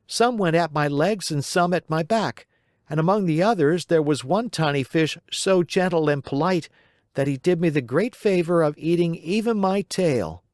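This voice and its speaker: A man's voice: fairly high pitch, normal speed, darkish tone, dry recording, studio quality, pitched above the performer's own voice, lightly acted. A man voicing a cheeky young wooden puppet boy in a storybook reading, in a playful, raised voice. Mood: storytelling.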